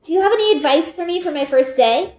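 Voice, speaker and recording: A woman's voice: very high voice, expressive, fast talker, a little mellow, slight background noise, pitched above the performer's own voice. A woman voicing an eager, stubborn young girl in a children's storybook, speaking earnestly and insistently. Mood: impatient eagerness. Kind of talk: children's storybook read-aloud. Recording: roomy indoor echo.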